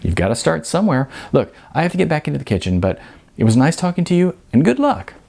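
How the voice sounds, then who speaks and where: A man's voice: on the high side, very animated, quick pace, vocal fry, a little mellow, voice pushed up, full character voice. A man voicing a blunt, world-weary restaurant manager in an audiobook, explaining things frankly and emphatically. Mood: frank, advising.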